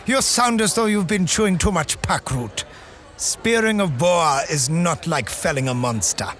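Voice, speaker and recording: An actor playing a formal, skeptical travelling companion who talks in a slightly prim manner. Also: male voice, a little high, lively intonation, a little slow, a bit bright, soft background music. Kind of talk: audio drama acting.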